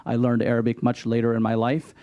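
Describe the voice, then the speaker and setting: A man speaking as an imam at a public hearing panel, explaining his faith in a measured way with light self-deprecating humor. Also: medium pitch, completely flat delivery, mellow, no echo.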